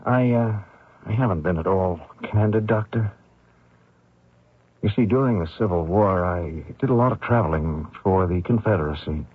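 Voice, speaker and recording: An actor in an old radio drama playing an evasive, uneasy fugitive courier, speaking politely and with guarded hesitation. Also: male voice, deep voice, normal speed, husky. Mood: confiding. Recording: tinny, noisy old radio drama recording.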